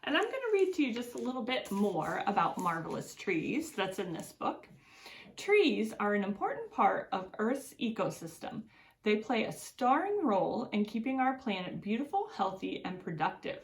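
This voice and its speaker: A woman reading a picture book aloud to children as an educator, with deliberate, expressive storytelling and drawn-out words. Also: mid-range voice.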